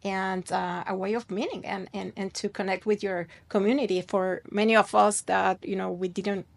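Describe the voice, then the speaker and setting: Gravelly-voiced woman podcast host. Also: mid-range voice, steady tone, normal speed. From born outside the United States. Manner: warm-hearted, many fillers and repeats. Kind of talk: interview podcast hosting.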